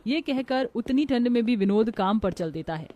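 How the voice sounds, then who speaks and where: A woman's voice: fairly high pitch, lively intonation, normal speed, dark tone, dry recording. A woman narrating a scripted moral story for a children's cartoon.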